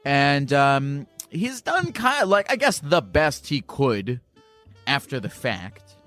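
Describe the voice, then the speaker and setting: A man hosting a casual call-in podcast, asking halting questions full of restarts and fillers. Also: mid-range voice, sing-song, a bit bright, no room sound, soft background music. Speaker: podcast host.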